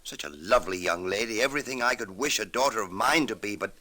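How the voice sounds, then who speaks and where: Middle-aged father figure, gentle and guarded, low pitch with a firm edge, vintage radio style. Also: expressive, fast. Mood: awkward, tender. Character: gentle, guarded ex-convict father hiding his identity. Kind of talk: 1940s-50s radio drama acting. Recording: old radio recording with music underneath.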